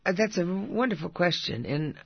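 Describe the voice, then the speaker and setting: An older actress with a husky voice, chatting and telling stories in an interview with frequent laughs. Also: female voice, lowish voice, expressive, moderate pace, dry recording, muffled recording. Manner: chatty, laughs often, warm storytelling. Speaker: actress guest. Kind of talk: casual interview chat.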